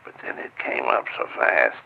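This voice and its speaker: An actor playing an old retired American military man, reflective and wary, recalling things haltingly in a gravelly voice. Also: a little hiss or hum, close to an everyday voice. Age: older. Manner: halting, conversational recollection. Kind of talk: naturalistic audio drama interview. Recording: dull, muffled interview audio.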